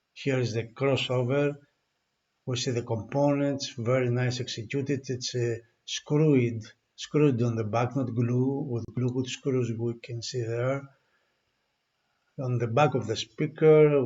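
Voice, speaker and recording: A man reviewing audio gear, walking through a product in halting, unscripted speech with many restarts. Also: mid-range voice, a little mellow, dull audio.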